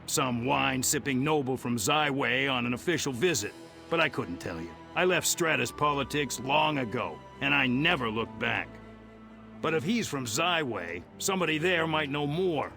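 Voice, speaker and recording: A sardonic jaded older game character, real gravelly and sharp. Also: male voice, medium pitch. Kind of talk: video game cutscene voice acting. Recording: music behind the voice.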